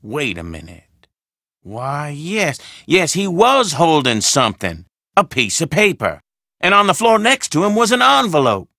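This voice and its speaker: An actor playing a pompous older doctor in a video game, speaking in a formal, deliberate, theatrical way. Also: male voice, fairly high pitch, huge pitch swings, sharp tone, no room sound, clean studio sound, full character voice. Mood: recollecting. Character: pompous, gloomy old Southern doctor, the dead man's friend.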